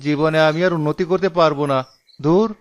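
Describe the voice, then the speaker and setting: A man's voice: on the high side, a little fast, muffled recording, a little hiss or hum, lightly acted. A man voicing a stingy, irritable village man in a cartoon, grumbling and defensive. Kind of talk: cartoon character voice acting.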